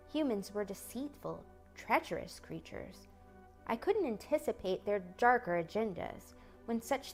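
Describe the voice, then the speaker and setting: A woman narrating a novel in the first person, soft and measured. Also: on the high side. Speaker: audiobook reader.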